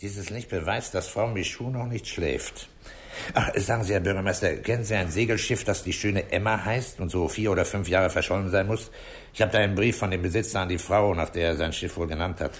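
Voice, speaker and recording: Actor as deep-voiced, authoritative police commissioner. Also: male voice, natural voice. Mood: probing, businesslike. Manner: measured, deliberate, authoritative. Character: authoritative, methodical male police commissioner, dryly impatient. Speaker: police commissioner leading an investigation. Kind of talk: 1960s German radio crime drama acting.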